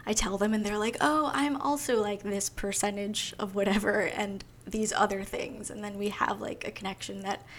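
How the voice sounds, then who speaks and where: A woman co-hosting a podcast in casual chat, thoughtful and quick to laugh, with frequent fillers. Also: mid-range voice, fairly even intonation.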